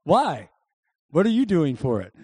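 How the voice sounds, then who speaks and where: Male pastor, high voice, emphatic preacher who works the congregation with call-and-response, dry close sound. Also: wildly swooping pitch, unhurried, mellow, husky, no echo. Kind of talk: sermon. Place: church service before a congregation.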